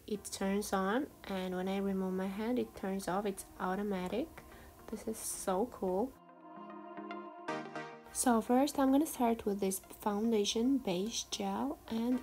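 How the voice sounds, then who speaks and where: A woman's voice: medium pitch, measured pace, a little mellow. A woman giving a casual, chatty tutorial voice-over in a self-deprecating way, like a beauty vlogger. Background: background music.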